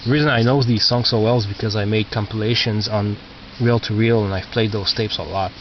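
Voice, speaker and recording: A man who is not a native English speaker, casually talking through his collection as a record collector, halting and muttering as he reads out labels, on a cheap video camera microphone. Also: lowish voice, steady tone. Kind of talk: casual narrated show-and-tell of collection. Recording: muffled cheap video camera, loud noise.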